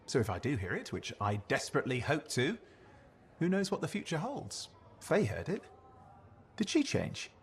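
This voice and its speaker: Cocky young sidekick from a video game, voice all over the place, braggy, wry, kinda touchy. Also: male voice, a little high, wildly swooping pitch, moderate pace, slight background noise. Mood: wistful, teasing. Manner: boastful, wry banter. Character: cocky young man, eager cipher sidekick, wounded pride. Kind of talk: video game cutscene acting.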